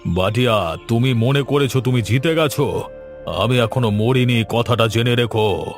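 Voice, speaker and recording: A man's voice: mid-range voice, measured pace, faint music behind, pitched below the performer's own voice, close to an everyday voice. A man voicing a vengeful, defiant cartoon villain who speaks in menacing threats. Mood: vengeful menace.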